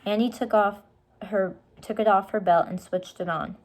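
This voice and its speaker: A woman reading a storybook aloud to young children, pausing to explain things to them. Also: mid-range voice, fairly even intonation, a little mellow. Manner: explains words and asks questions for young listeners. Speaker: storybook reader.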